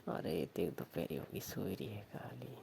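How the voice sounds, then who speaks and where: A man playing a village lover coaxing his sister-in-law on the phone, with a Rajasthani accent and a slow, suggestive way of talking. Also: low voice, animated, gravelly, warm tone, slight background noise, exaggerated voice. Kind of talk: staged phone call, casual flirtatious chat.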